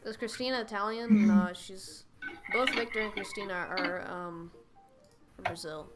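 A woman's voice: mid-range voice, steady tone, moderate pace, over music. A woman streaming live, reading out and answering chat in a casual, joking way. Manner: reads and answers chat aloud, chatty and joking.

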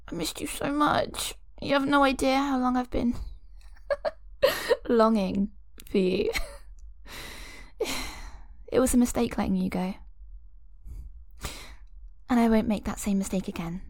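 A woman voicing an obsessive young woman who holds her lover captive, sweet on the surface and cruel beneath, talking in breathy whispers and giggles. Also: high voice, lively intonation, slow, long pauses, no echo, exaggerated voice. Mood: doting. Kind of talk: audio roleplay drama.

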